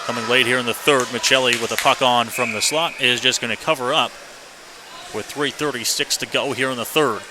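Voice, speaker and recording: A man's voice: medium pitch, a little fast. A man doing live radio play-by-play commentary of a hockey game in clipped running phrases. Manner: clipped running phrases, excited shouts on big plays. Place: indoor ice hockey arena. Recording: noisy live arena broadcast.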